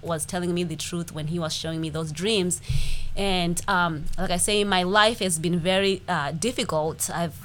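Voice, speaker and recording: A woman in her forties with a Zimbabwean accent, co-hosting a podcast and telling her life story in a lively way, acting out the things people said. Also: on the low side, normal speed, a little hiss or hum. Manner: animated, acts out quoted speech. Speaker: podcast co-host. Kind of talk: casual personal storytelling.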